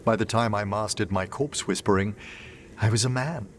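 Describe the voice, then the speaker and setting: An actor playing a gentle, bookish gentleman necromancer in a video game, speaking in a formal, measured, eloquent way. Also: lowish voice, a little hiss or hum, natural voice. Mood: dryly fond. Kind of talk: video game dialogue acting.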